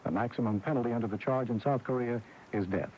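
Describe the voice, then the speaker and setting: A man's voice: mid-range voice, quick pace, a little mellow, highs cut off, a little hiss or hum. A man anchoring scripted radio news on an old AM broadcast recording. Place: radio studio.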